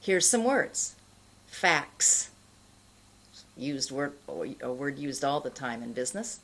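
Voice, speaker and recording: A middle-aged woman with an American accent giving an instructional pronunciation lesson, enunciating carefully and deliberately with pauses between words. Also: deep voice, animated, creaky, a bit bright. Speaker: pronunciation teacher. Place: indoor studio.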